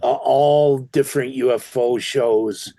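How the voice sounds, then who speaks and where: A man in a casual chat, telling a story in an animated way. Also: mid-range voice, slow pace, no room sound.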